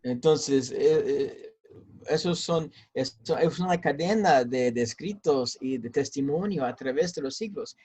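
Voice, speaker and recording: A man's voice: medium pitch, a little slow, a little mellow, slightly rough. A man, a pastor and seminary teacher, answering interview questions in Spanish with an accent that mixes American and Mexican, hesitant with many fillers and repeats. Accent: mix of American and Mexican Spanish.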